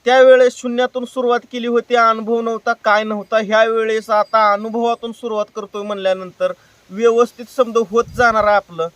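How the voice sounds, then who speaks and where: A man's voice: very high voice, level tone, a little fast, a bit bright, no echo, distant mic. A man making an earnest, plain-spoken personal appeal to his viewers, repeating himself for emphasis. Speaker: YouTube creator and prank caller.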